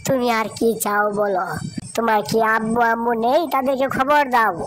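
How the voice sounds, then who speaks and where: A woman's voice: fairly high pitch, moderate pace, a little hiss or hum, lightly acted. A woman playing a scolding, anguished village mother in a melodramatic cartoon, repeating her reproaches plaintively with a Bangladeshi accent. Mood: stern, rejecting. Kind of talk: melodramatic Bengali moral cartoon acting.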